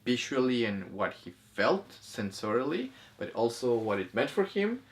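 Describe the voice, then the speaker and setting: A man co-hosting a casual review conversation, speaking haltingly and rephrasing as he goes. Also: mid-range voice, very animated. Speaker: co-host of a movie review. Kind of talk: casual conversational discussion of film and spirituality.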